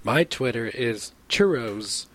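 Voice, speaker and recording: A man's voice: medium pitch, expressive, slow pace, fairly bright tone. A man in his late twenties co-hosting a casual chat, laid-back and teasing. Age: 20s. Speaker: podcast co-host.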